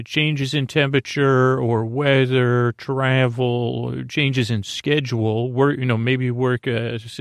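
Creaky, rambling sleep podcast man. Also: medium pitch, dry recording, clean studio sound. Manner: meandering, halting, many fillers and restarts. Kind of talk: rambling bedtime podcast intro.

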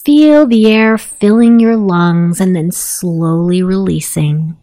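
Woman, meditation guide, gravelly fry, dark mellow tone, hushed, soothing, long pauses. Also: on the low side. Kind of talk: guided meditation, self-hypnosis narration.

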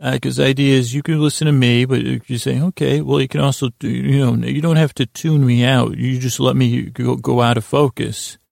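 A man with a heavily creaky, gravelly voice rambles gently, as if to help someone drift off. He stumbles and restarts often, meandering in a friendly, soothing way. The sound is dry and close, with hardly any room on it. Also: mid-range voice, little pitch movement, no room sound. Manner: fumbling, meandering, halting with repeated false starts. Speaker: podcast host. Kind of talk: rambling, soothing bedtime monologue.

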